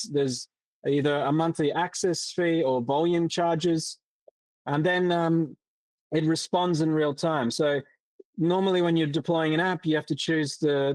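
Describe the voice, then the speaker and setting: A man presenting a software demo in a casual way, with many fillers and restarts. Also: a little high, no echo, rough recording. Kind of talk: explaining a tech demo.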